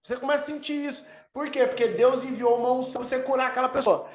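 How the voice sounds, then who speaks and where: A man with a Brazilian accent, an apostle teaching a healing course over a video call, energetic and emphatic as he answers questions. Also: very high voice, very fast. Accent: Brazilian Portuguese. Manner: energetic preacher, swells and emphasizes key words. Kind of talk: live online teaching with Q&A.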